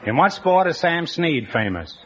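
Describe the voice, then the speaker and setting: A man hosting a quiz show, teasing and joking with contestants in quick banter. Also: fairly high pitch, very animated, fairly bright tone. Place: broadcast studio with live audience. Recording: dull old radio broadcast with studio audience.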